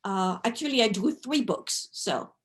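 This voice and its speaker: A woman's voice: mid-range voice, animated, measured pace. Woman in her fifties. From France. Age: 50s. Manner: thoughtful, often adds "right?" and "you know". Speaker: guest artist. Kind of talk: reflective interview talk.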